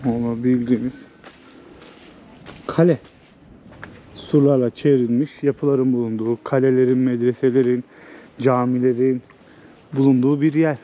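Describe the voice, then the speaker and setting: A man's voice: mid-range voice, flat delivery, normal speed, long pauses, lo-fi sound. Casual male travel vlogger, faint outdoor noise. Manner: informal and conversational, thinking aloud. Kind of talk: casual, unscripted travel vlog talk to viewers. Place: outdoors, walking through an old walled town. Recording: outdoor city ambience, background noise.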